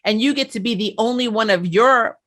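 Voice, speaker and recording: A woman's voice: on the high side, a little fast, a bit bright. A woman chatting casually and animatedly as she hosts a discussion reviewing a TV show.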